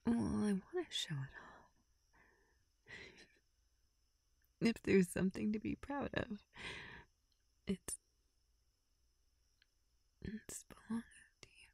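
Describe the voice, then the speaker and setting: A woman playing a shy, affectionate young woman in an intimate roleplay audio, speaking softly and breathily, often near a whisper, with halting stammers. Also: medium pitch, expressive, slightly rough, no room sound. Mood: tender, relieved. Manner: hesitant, halting, with nervous stammers.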